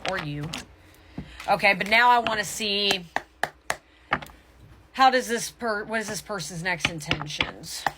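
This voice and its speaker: A woman's voice: medium pitch, animated, noisy background. A woman giving a casual tarot reading to viewers, speaking emphatically and often stopping to correct herself mid-thought. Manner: emphatic, halting and self-correcting. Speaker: tarot reader.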